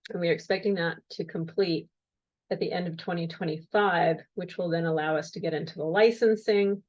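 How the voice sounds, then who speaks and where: Woman presenting plans over a dull call. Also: lowish voice. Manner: measured, explanatory, occasional fillers. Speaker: county project presenter. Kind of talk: slide presentation on a video call.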